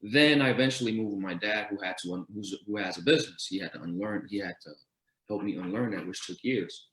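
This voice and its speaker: A man from Denmark answers a town hall question in a somewhat low voice. He is earnest, trips over restarts and lays out his answer point by point. The room around him rings with a noticeable echo. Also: quick pace, fairly bright tone. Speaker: guest entrepreneur. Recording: roomy echo.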